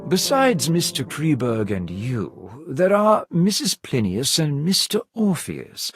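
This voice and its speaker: A man playing a polite, composed servant of a grand manor in a video game, speaking with formal, measured courtesy. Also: on the high side, huge pitch swings, faint music behind, full character voice. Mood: formal, courteous. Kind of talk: scripted video game dialogue.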